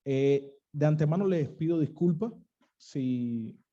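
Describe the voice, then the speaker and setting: Dark-voiced Cuban preacher. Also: male voice, on the high side, dull audio. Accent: Cuban, western coastal. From Cuba. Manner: earnest, emphatic, pausing on key phrases. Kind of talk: sermon.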